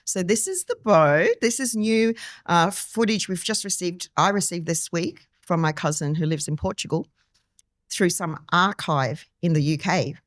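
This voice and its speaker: A woman's voice: lowish voice, a little slow, dry recording, clean studio sound. A woman in her early fifties, originally from Timor-Leste, telling her family story as a podcast guest in an earnest, personal way. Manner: earnest, personal, with many restarts and ums. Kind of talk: conversational interview storytelling.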